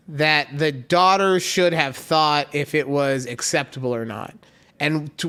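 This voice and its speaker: A man's voice: fairly high pitch, bright, forceful tone. A man co-hosting a casual podcast, chatting in a rambling way and acting out the people he quotes. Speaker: podcast co-host.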